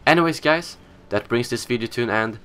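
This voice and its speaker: A man's voice: mid-range voice, fairly quick, bright, forceful tone, clean tone. A young man whose first language is Swedish, hosting a casual, opinionated gaming news show in a scripted style.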